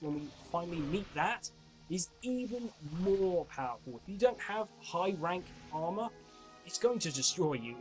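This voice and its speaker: A man's voice: high voice, rough recording. A young man giving chatty commentary while playing a game, explaining as he goes, his voice a bit off from a cold coming on. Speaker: gaming channel host. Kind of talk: casual gameplay commentary.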